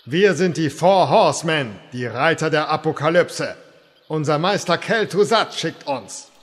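An actor playing an outraged, pompous dark horseman villain with declamatory delivery in a comedy audio play. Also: fairly high pitch, expressive. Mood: menacing, solemn. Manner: declamatory, slow.

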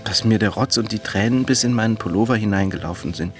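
A low male voice over music, an actor playing an eccentric radio-play character. He is painfully earnest and sentimental, fussy in how he confides, and prone to outrage, repeating his phrases. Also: fast talker, lightly acted. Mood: distressed, confessional. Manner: confessional, fussy, repeats himself in agitation. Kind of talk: radio play monologue and dialogue.

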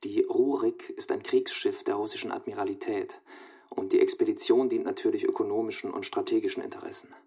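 An actor playing a studious young man dictating research notes in a radio drama, clipped, analytical and deliberate. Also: on the low side, steady tone, warm tone, slightly rough.

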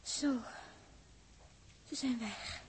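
An actress playing a weary, tender and easily alarmed young woman crew member in a 1960s radio drama, speaking haltingly and often half-whispering. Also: slight background noise. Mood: tired, tentative. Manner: halting, breathy half-whispers, swelling to emotional outbursts.

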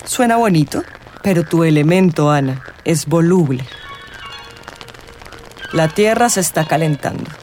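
A woman acting a mystical, teasing tarot reader in a radio drama, theatrical and suggestive, with dramatic pauses. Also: low voice, lively intonation, darkish tone. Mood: mysterious, intent. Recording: music behind the voice. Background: music.

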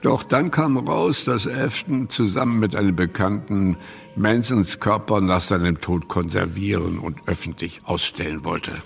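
A man's voice: sits low, a little slow, creaky. A man with pronunciation giving scripted, matter-of-fact documentary narration in a radio play. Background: music.

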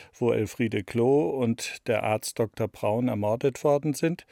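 A man's voice: mid-range voice, a little mellow, no room sound. Man in his sixties, very dry sound. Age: 60s. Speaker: radio play author being interviewed. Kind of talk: reflective interview answers.

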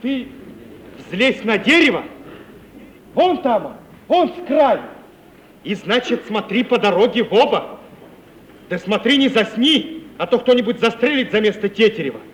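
High-voiced anxious young rustic lover. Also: male voice, very animated, a little slow, long pauses. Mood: bossy, urgent. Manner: folksy, colloquial, grumbling speech. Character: anxious lovesick young merchant's son, rustic and plaintive. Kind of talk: 1950 Russian radio play acting. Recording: muffled, hissy 1950s radio recording.

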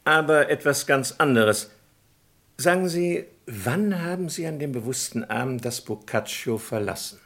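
A man's voice: medium pitch, expressive, measured pace, a bit bright. An actor playing a courteous, methodical male investigator questioning people in a German crime radio play. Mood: politely probing. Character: polite, probing male investigator in French crime mystery.

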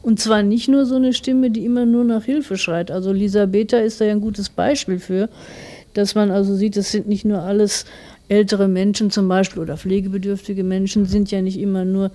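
A woman's voice: medium pitch, level tone, moderate pace, dark tone. A middle-aged woman giving a reflective interview as a writer, thoughtfully explaining her views in long, winding sentences.

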